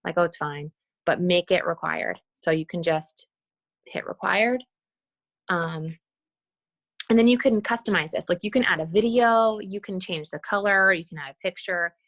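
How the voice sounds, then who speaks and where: A woman's voice: on the low side, normal speed, a bit bright, no echo. A woman leading an informal screen-share walkthrough on a team call, talking casually and thinking aloud as she demonstrates. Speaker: team leader giving a tutorial.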